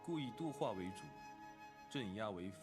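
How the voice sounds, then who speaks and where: A man playing an aloof, principled young disciple in an animated drama, speaking with formal, measured phrasing. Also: lowish voice, close to an everyday voice. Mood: composed, grave. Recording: loud music over dialogue.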